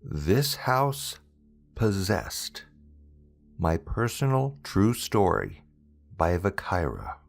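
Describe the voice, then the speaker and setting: A man with a fairly low voice reads a scripted horror story. He takes it very slowly, with a deliberate, dramatic delivery and wide swings in pitch. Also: wildly swooping pitch, gravelly, soft background music. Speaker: host and narrator of a scary-story channel.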